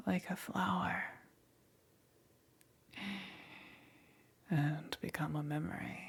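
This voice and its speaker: A woman's voice: fairly low pitch, heavily acted. Woman acting a whispering, possessive demon queen. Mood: tender, ominous. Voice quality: breathy, whispery, husky. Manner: slow, deliberate, softly condescending. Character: seductive, possessive, melancholy demon queen keeping a pet. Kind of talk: whispered ASMR roleplay.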